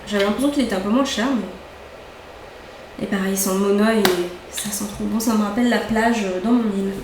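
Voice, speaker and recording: A woman's voice: on the high side, noisy background. Chatty young woman in an echoey room. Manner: chatty, enthusiastic, repeats words for emphasis. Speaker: beauty vlogger. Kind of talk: casual haul chat. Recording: echoey room, faint ambience.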